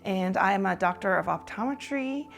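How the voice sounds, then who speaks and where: A woman raised in Taiwan, an optometrist, giving an earnest prepared talk with frequent pauses and restarts. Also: medium pitch, expressive, creaky. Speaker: optometrist speaking on women's empowerment.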